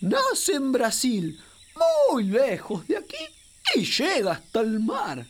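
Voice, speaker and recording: A woman voicing a chubby, excitable capybara who acts as a knowing river guide in a children's radio play, with a Rioplatense Argentine accent and a theatrical, exclamatory way of explaining. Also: a little high, slow pace, full character voice. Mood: proud, explaining. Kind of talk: children's radio drama acting.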